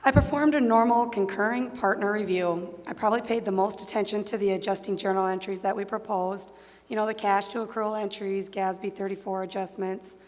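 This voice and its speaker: A woman's voice: medium pitch, steady tone, brisk, a little mellow, lightly acted. A woman playing a composed audit partner testifying in an amateur stage dramatization, matter-of-fact and careful. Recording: echoey hall, long reverb.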